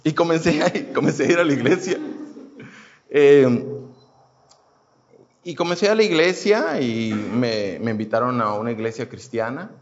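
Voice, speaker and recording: A man's voice: medium pitch, lively intonation, normal speed, pauses often, highs cut off. Hesitant man telling his testimony. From Houston, United States. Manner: hesitant, many fillers and restarts. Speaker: churchgoer giving a testimony. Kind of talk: personal testimony, casual storytelling.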